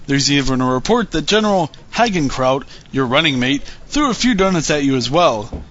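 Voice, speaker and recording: A man playing a straight-faced political field reporter in a parody news report, formal and deadpan. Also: a little high, moderate pace, highs cut off, slight background noise. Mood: dry, probing.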